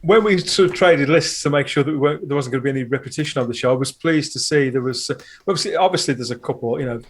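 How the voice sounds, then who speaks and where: A man's voice: mid-range voice, rapid-fire, breathy edge. A man chatting casually as a podcast guest, effusive and rambling as he talks. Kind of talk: casual chat.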